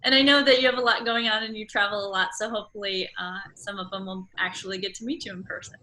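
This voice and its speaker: A woman with a bright, forward voice conducts a casual interview. She phrases her questions tentatively and affirms answers with enthusiasm, over faint background noise. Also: medium pitch, fairly even intonation, quick pace, rough recording.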